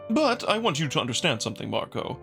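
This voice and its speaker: A man voicing a genteel, scheming male jackal noble in a visual novel, with a high, soft voice and formal, measured diction. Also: very animated, gravelly, soft background music, exaggerated voice. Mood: candid, suave. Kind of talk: visual novel dramatic reading.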